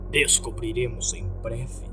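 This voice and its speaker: A man voicing a smug, menacing male cult leader in a horror story, with a slow, pompous, deliberate delivery. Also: medium pitch, fairly even intonation, music in the background, lightly acted. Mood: mocking, falsely cordial.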